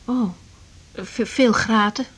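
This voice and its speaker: A woman acting in a 1980s Dutch radio drama as a young doctor, halting, polite but candid, with a Netherlands Dutch accent. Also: fairly high pitch, slightly rough. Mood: evasive, nervous.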